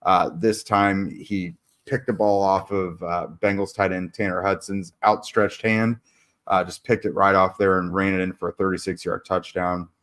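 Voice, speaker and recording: Low-voiced man recapping a football game. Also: normal speed. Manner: many "uh" fillers and restarts, steady recap tone. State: losing his voice. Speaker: podcast host. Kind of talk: casual sports analysis.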